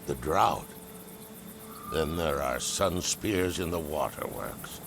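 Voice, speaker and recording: A man playing a ruthless, bullying general with a gravelly, commanding, menacing delivery in a video game. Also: very deep voice, expressive, takes it slowly, heavily acted. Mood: impatient anger. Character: ruthless, bullying male general hunting rebels. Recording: clear noise behind the voice.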